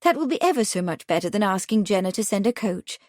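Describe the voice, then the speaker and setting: Woman reads eager upper-class young woman, brisk and insistent, storybook, dry booth. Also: medium pitch, expressive, a little mellow, no echo, natural voice. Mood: cheerful. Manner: brisk, emphatic, persuasive.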